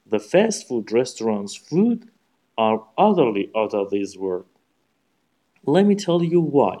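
A man from Iran with an Iranian accent, speaking English as a second language and giving a prepared speech in formal, deliberate phrasing. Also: mid-range voice, big pitch swings, warm tone. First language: Persian. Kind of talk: practice speech, memorized.

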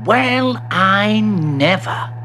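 A storyteller voicing a bossy, excitable young male animal in an eager, exclamatory manner, for a children's story. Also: mid-range voice, slow, a little hiss or hum, voice pushed up. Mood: amazed.

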